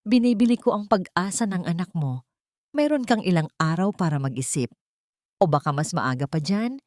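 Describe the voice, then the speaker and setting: A woman voicing a cold, calculating wealthy male boss in an audio drama, speaking in a measured, controlled manner. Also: lowish voice, lively intonation, a little mellow, dry recording, lightly acted.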